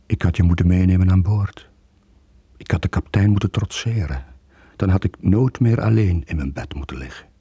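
Very deep, husky brooding sailor. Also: male voice, moderate pace, mellow, no echo. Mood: anguished, regretful. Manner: brooding, confessional. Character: Johan, brooding, guilt-ridden sailor torn by love. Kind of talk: 1980s radio drama, intimate narrating monologue.

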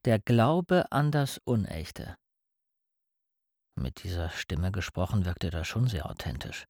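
An actor in a radio drama playing a proud, tradition-minded musician who speaks earnestly and with mild scorn. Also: male voice, sits low, big pitch swings, slow, a little mellow, heavily acted. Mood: scornful.

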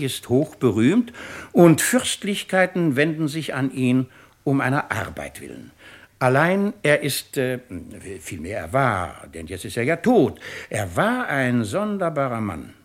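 An actor playing a shrewd, pompous, mocking presiding judge in a 1950s radio play. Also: male voice, mid-range voice, big pitch swings, measured pace. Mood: animated, insinuating. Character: shrewd, sardonic male presiding judge of a French tribunal.

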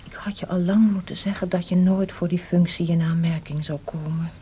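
A woman's voice: on the low side, fairly even intonation, brisk, dark tone, close to an everyday voice. A woman playing a candid, concerned and inquisitive confidante of a composer in a Dutch radio drama. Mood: hesitant, confiding. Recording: clearly noisy archive radio recording.

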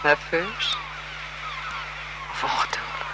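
A woman's voice: takes it slowly, background noise, close to an everyday voice. An actress playing a haughty married aristocratic lady, secretly seductive and evasive, in a poised, languid 1970s radio drama style. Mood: seductive, intimate.

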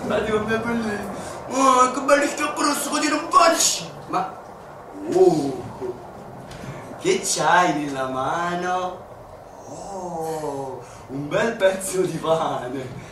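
A man's voice: high-pitched, slow, background noise. An actor with a Neapolitan accent playing Totò, a playful, grumbling Neapolitan man in a radio stage play. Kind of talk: theatrical radio drama. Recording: echoey, distant mic, music behind.

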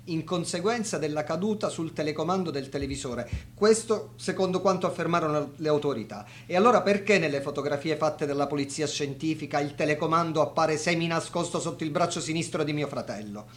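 An actor playing a grieving, doubting brother giving measured, questioning testimony in a radio drama. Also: male voice, on the high side, slight background noise, natural voice. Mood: doubting, bitter. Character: grieving, doubting brother of a dead young doctor.

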